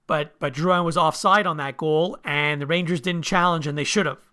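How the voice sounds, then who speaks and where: A man's voice: a little high, normal speed, pushed and bright, clear, resonant tone. A man co-hosting a sports podcast in casual conversation over a video call.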